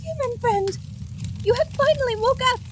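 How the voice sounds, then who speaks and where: A woman's voice: extremely high pitch, animated, normal speed, a bit bright, a little hiss or hum. A woman voicing an earnest, sweet, anxious guardian fairy in an audio drama. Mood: relieved.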